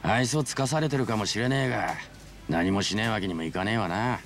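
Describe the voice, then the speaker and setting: An actor playing a gruff, plain-spoken man of the world, advising in rough, measured speech in a game. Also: lowish voice, natural voice. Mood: sober, advising. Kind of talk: dramatic Japanese video game voice acting.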